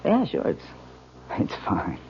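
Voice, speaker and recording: A man's voice: slow, natural voice. A man playing a doting, cajoling newlywed husband in a 1970s radio drama, speaking casually. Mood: playful, affectionate. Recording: muffled, faintly noisy old radio.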